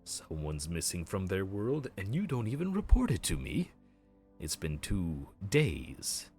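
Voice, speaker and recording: Guy doing a deep slow grim reaper, sarcastic fed-up paperwork type. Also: huge pitch swings, darkish tone, soft background music, heavily acted. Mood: exasperated. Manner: exasperated, sarcastic bureaucrat. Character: weary male grim reaper running the underworld queue. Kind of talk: audio roleplay.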